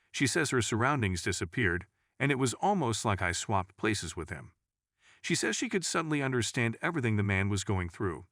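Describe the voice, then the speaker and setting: A man's voice: lowish voice, a bit bright, no echo, studio quality. A man reading scripted narration of true stories as a storyteller.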